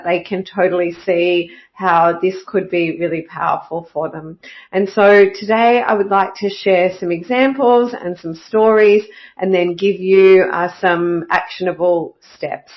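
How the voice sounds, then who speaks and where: Woman hosting a solo podcast, a bit croaky with a cold, upbeat with lots of "uh"s, mid pitch, slightly dull sound. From Australia. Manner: upbeat and enthusiastic, with many "uh" fillers. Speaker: podcast host.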